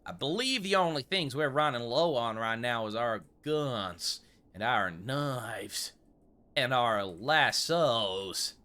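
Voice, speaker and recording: A man's voice: mid-range voice, bright, forceful tone. A man playing a fussy frontier gun shop owner in gameplay commentary, with a put-on American Old West accent and wordy, mock-formal courtesy. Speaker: gaming video creator.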